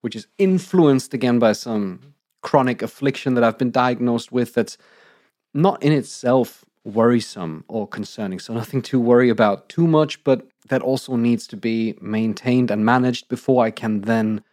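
Croaky-voiced man co-hosting a podcast. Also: mid-range voice, a little mellow, no room sound, clean studio sound. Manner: articulate, measured, laughs often. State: slightly croaky, recovering from illness. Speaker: podcast co-host. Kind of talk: casual podcast announcement.

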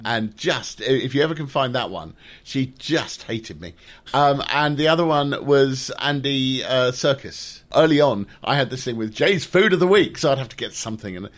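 A man's voice: medium pitch, expressive, normal speed. A man guest on a podcast telling wry anecdotes in casual chat, with hesitant restarts.

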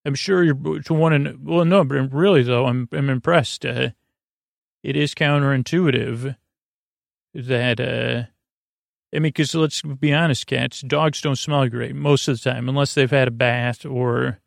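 A man hosting a bedtime podcast in a gravelly, creaky voice, meandering and halting as he drifts off on tangents. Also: mid-range voice, steady tone, dry recording, clean studio sound. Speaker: podcast host. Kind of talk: rambling bedtime podcast monologue.

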